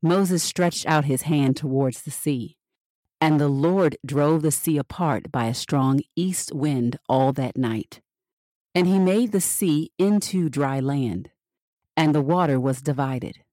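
A woman reading scripture as scripted narration for a Bible podcast, measured and expressive with the dialogue. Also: sits low, dry recording, clean studio sound.

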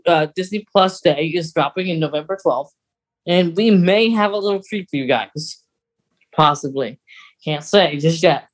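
A podcast host talking through fan news in a casual, conversational chat. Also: medium pitch.

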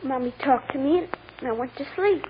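A woman's voice: high voice, fairly quick, close to an everyday voice. A woman playing a timid, innocent little girl with simple, childlike phrasing in an old radio courtroom drama. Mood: hesitant, anxious. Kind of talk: 1940s radio drama acting. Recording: old radio recording, narrow band, light noise.

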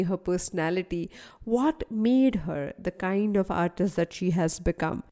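A woman's voice: mid-range voice, dark tone. A woman with an Indian English accent, hosting a podcast interview and introducing her guest, laughing often. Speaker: podcast host.

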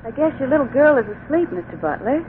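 An actress playing a gangster's scheming, sweet-talking wife in a 1940s radio crime drama, with a coaxing, pleading manner. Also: female voice, high voice, big pitch swings, fast talker. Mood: sweetly confiding. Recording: muffled, noisy 1940s radio recording.

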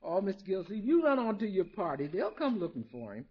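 A woman's voice: mid-range voice, very animated, brisk, breathy edge. A woman playing a cheerful, kindly, middle-aged housekeeper in a radio sitcom, with a folksy, droll, chuckling way of talking. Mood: concerned. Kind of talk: 1950s radio sitcom acting. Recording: dull, noisy 1950s radio recording.

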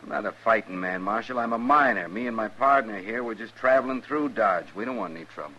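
An actor playing an evasive, unruffled miner passing through town, measured and plain-spoken, in a 1950s radio western. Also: male voice, lowish voice, pushed and bright. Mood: controlled, compliant. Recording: muffled, faintly hissy old radio recording.

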